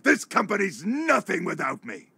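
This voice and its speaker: An actor playing a blustering, indignant company tycoon in a video game cutscene. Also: male voice, sits high, huge pitch swings, normal speed, vocal fry, pushed and bright, husky, dry recording, full character voice. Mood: outraged. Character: ornery male company tycoon, bullish and indignant.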